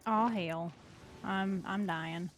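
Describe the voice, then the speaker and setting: A woman's voice: mid-range voice, slow. Easygoing woman gaming casually. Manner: informal, easygoing, slangy. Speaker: co-op gaming partner. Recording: faint game music behind. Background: game music.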